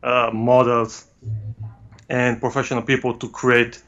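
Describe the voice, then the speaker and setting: A man's voice: mid-range voice, flat delivery, fairly bright tone. Italian guy on a video call, lots of uhs, kinda muffled audio. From Italy. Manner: hesitant, frequent "uh" pauses, non-native phrasing. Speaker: guest photographer. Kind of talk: remote interview, casual explanation. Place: home studio.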